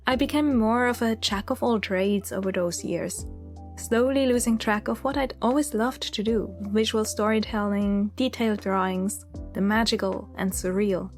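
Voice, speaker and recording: A woman's voice: mid-range voice, fairly even intonation, normal speed, soft background music. A woman in her thirties with a Swiss German accent, narrating her story in a candid, chatty, reflective voice-over. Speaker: illustrator and channel host.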